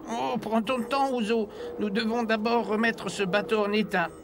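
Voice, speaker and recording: A man's voice: high voice, fairly even intonation, normal speed. A man voicing a kindly old grandfather and boat captain, speaking reassuringly in a dubbed cartoon. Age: older. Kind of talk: dubbed low-budget cartoon dialogue. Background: music.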